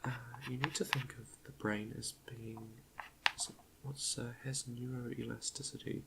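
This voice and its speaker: A man quietly leading an ASMR guided meditation in a soft half-whisper, gentle and a little hesitant, close to the microphone with chalk clicks behind him. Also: mid-range voice, fairly even intonation, a little slow, heavy background noise. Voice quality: soft, breathy half-whisper with creaky fry.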